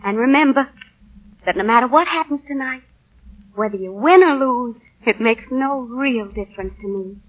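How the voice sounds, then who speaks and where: An actress playing a devoted, encouraging young wife who can be firm, in 1940s radio drama style. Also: female voice, on the high side, moderate pace, close to an everyday voice. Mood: tender, reassuring. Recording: muffled 1940 radio broadcast.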